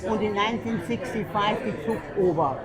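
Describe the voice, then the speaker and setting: Woman, nineties, German accent, native German speaker, emphatic and repetitive in casual interview, loud crowd chatter around her. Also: mid-range voice, fairly quick, low-quality recording. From Bavaria, Germany. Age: 90s. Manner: emphatic, repeats herself. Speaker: longtime restaurant customer interviewed. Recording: busy public room, loud crowd chatter. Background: crowd chatter indoors.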